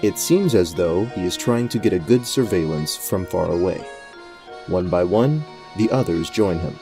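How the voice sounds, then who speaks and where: A man's voice: sits low, expressive, normal speed, music in the background. A man narrating a fantasy adventure as game master in a scripted storytelling style.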